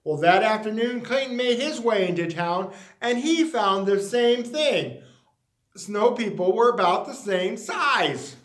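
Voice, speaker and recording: A man reading a children's picture book aloud in an animated storytelling style with emphatic, deliberate phrasing. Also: high-pitched. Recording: roomy, echoey sound.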